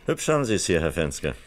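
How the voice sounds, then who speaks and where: An actor playing a polite, dryly amused police detective in a German radio crime drama. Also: male voice, deep voice. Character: unhurried, genial, dryly joking criminal police detective.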